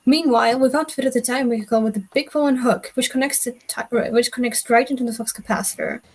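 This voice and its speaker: A woman's voice: fairly high pitch, fast talker, a little mellow, breathy edge. A woman voicing an eccentric, earnest elderly male inventor in a script table read, explaining things in a declamatory way. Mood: explaining eagerly.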